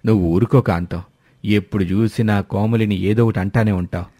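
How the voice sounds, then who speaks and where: A man voicing a mild, wry older father-in-law in an animated story, placating and gently complaining. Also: lowish voice, brisk, no echo, studio quality, close to an everyday voice. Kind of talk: Telugu animated moral story dialogue.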